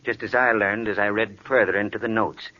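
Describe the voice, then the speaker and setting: An actor playing a worried, earnest hospital doctor in 1940s radio drama, speaking with dramatic urgency. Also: male voice, on the low side, fairly even intonation, fairly quick, close to an everyday voice. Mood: grave, secretive. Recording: muffled, faintly noisy old radio recording.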